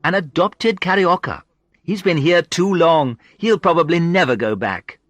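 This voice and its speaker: A man's voice: fairly high pitch, lively intonation, a little slow, fairly bright tone, dry recording, voice pushed up. A man voicing a confident, friendly, teasing woman from Brazil in an audiobook story, with playful and emphatic delivery. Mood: warm, bright. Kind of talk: audiobook character dialogue.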